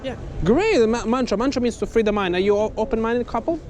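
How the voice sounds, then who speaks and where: A man from Montreal who is a monk handing out books to strangers on a city street. He talks in short, upbeat pitches full of compliments. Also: expressive, fast, noisy background. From Canada, Montreal. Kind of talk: street outreach chatting with strangers. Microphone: camera-mounted mic. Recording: loud music laid over the street sound.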